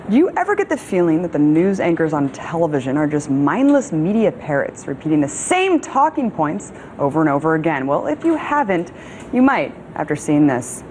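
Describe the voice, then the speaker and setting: A woman's voice: low voice, very animated, moderate pace, darkish tone, a little hiss or hum. An American woman in her thirties presenting scripted opinion commentary in an emphatic, sardonic manner as a news show host. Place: TV studio.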